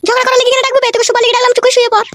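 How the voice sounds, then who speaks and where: Squeaky, nagging village wife. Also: female voice, little pitch movement, racing, a bit bright. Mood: jealous, nagging. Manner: nagging, accusing, coarse. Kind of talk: comic cartoon dialogue.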